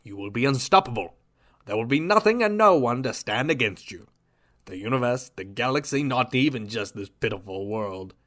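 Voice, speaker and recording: A man voicing a cold, gloating male villain scientist who talks in a soft, smug, menacing drawl in an audio drama. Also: medium pitch, expressive, normal speed, close to an everyday voice. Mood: coaxing. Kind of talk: amateur audio drama acting.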